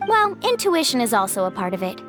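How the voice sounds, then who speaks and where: A woman's voice: fairly high pitch, sing-song, brisk, fairly bright tone. An actress playing a friendly, encouraging young woman guide who leads the group in a video game. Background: game music.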